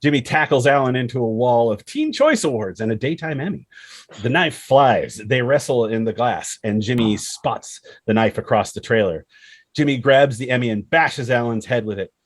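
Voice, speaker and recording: A man's voice: medium pitch, dry recording. A man reading stage directions as the narrator of a screenplay table read.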